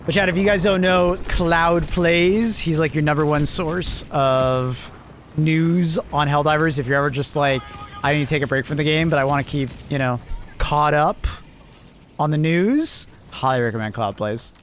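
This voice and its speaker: A man's voice: fairly high pitch, moderate pace, slight background noise. Laid-back Canadian man streaming. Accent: Canadian, close to American. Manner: laid-back, rambling, lots of fillers, chuckles. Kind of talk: casual live-stream chat.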